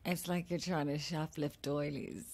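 A woman's voice: low voice, steady tone, a little slow, darkish tone. An actress playing a macabre, blithely unhinged woman in a horror-comedy audio drama, arch and morbidly flippant. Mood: dry.

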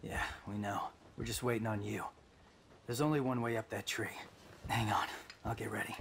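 Brash young demon hunter. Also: male voice, fairly low pitch, steady tone, moderate pace, creaky, a bit bright, slight background noise. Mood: serious. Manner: brash, sardonic, blunt. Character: cocky, impatient young male demon hunter. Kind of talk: video game cutscene acting.

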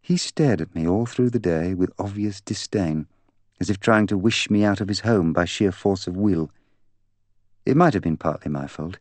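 Audiobook role: proud young woman, bitter and candid, intense delivery, low voice performed by a man. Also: normal speed, a little mellow, no echo. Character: proud, bitter young woman confessing to killing her fiancé. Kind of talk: audiobook character dialogue.

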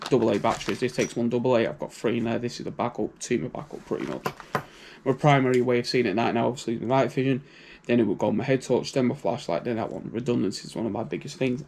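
British guy rambling through his kit, casual, mid pitch, lots of "you know". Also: creaky, a little mellow, a little hiss or hum. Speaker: prepping channel host. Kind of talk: casual gear walkthrough.

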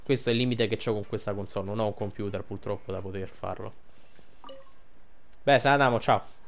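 A man's voice: fairly low pitch, a little fast, creaky. A man giving casual, colloquial game commentary, rambling and thinking aloud. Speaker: gameplay video creator. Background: game music.

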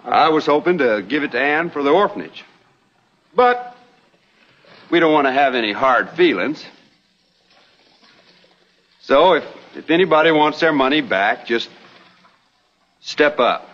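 A sheepish young American swindler of the Old West. He talks in a folksy, stalling, genial way, with a fairly high voice on a dull television film soundtrack. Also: expressive, unhurried, long pauses. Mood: earnest, conciliatory. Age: young adult. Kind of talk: 1960s television western acting.

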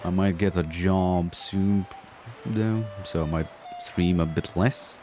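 A man from Bosnia streaming a strategy game, chatting with viewers in a relaxed, rambling way and swearing casually. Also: sits low, slow pace. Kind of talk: casual gameplay commentary with chat. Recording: voice over loud game music.

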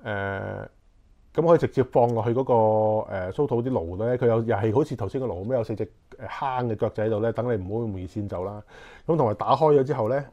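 A man's voice: medium pitch, quick pace, warm tone, muffled recording. A man in his forties with a Hong Kong Cantonese accent, unboxing camping gear in casual chat and thinking aloud with many fillers, recorded on a lapel mic in a small living room. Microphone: clip-on lapel mic.

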